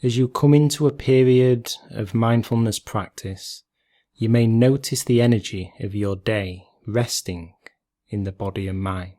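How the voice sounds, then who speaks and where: Slow, mellow male meditation guide. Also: fairly low pitch. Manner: calm, gentle, measured instruction with long pauses.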